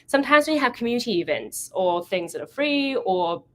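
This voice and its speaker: Animated woman telling a story. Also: fairly high pitch, fairly quick. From Thailand. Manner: animated storytelling, acting out quoted conversations; often corrects herself mid-sentence. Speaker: event founder giving a talk. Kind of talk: meetup presentation.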